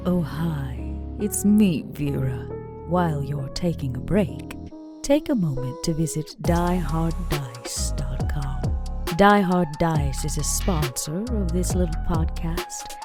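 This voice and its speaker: A woman's voice: sits low, expressive, slow pace, over music, exaggerated voice. A woman playing a charming, self-assured female vampire, theatrical and arch, in a tabletop roleplay podcast. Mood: playful, promotional. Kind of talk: tabletop roleplay and in-character sponsor read.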